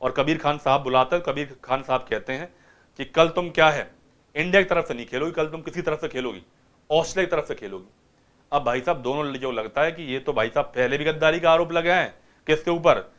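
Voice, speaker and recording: Lively Indian man teaching vocabulary. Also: fairly high pitch, fast. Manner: lively, keeps asking students rhetorical questions. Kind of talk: vocabulary lesson retelling a film.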